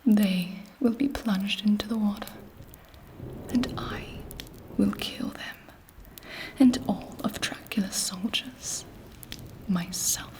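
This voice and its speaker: Lady doing a ruthless vampire queen, whispery asmr roleplay, super slow n imperious. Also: medium pitch, darkish tone, close to an everyday voice, poor audio. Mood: cold, contemptuous. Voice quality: breathy whisper. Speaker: ASMR performer.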